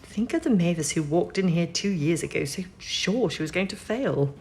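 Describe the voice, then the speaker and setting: An actress playing a steady, supportive senior wartime codebreaker, composed and matter-of-fact, in an audio drama. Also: female voice, on the low side, moderate pace. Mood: warm.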